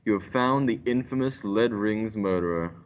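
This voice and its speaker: An actor playing a smug, theatrical announcer who narrates a radio murder mystery with deliberate drama. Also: male voice, lowish voice, natural voice. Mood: ominous, suspenseful. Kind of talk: old-time radio detective drama acting. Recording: dull lo-fi audio.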